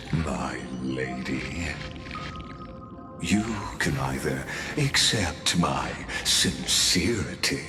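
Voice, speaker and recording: A man playing a calm, calculating mastermind in a video game, a grandiose scholarly villain speaking in courtly, eloquent, condescending monologue. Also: very deep voice, exaggerated voice. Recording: echoing voice over game music.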